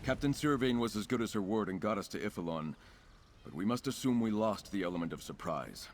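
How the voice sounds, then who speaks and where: A man's voice: lowish voice, lively intonation, brisk, slight background noise. A man voicing an earnest knight commander in a video game, grave and commanding. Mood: resolute, urgent. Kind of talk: video game dialogue acting.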